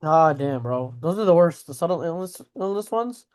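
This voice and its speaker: A man's voice: fairly high pitch, lively intonation, normal speed, a little mellow, clean tone. A man co-hosting a podcast, reacting to news in a casual, slangy way with mild swearing. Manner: slangy, informal, swears mildly. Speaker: podcast co-host.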